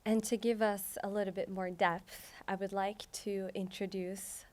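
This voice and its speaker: A woman speaking quietly and in measured phrases as she introduces the next presenter at an event. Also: medium pitch, level tone. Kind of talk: formal introduction.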